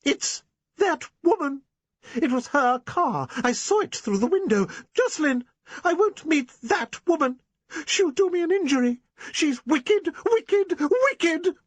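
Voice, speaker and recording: A man voicing a shrill, hysterical, fanatical middle-aged spinster in an audiobook reading. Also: huge pitch swings, normal speed, dry recording. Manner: shrill, breathless, rising to frenzied.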